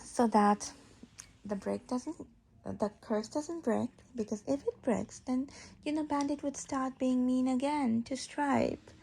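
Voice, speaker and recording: A woman reading a storybook aloud in an informal, expressive way, pausing to explain and check that the listener is following. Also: high-pitched, creaky, a little hiss or hum, rough recording.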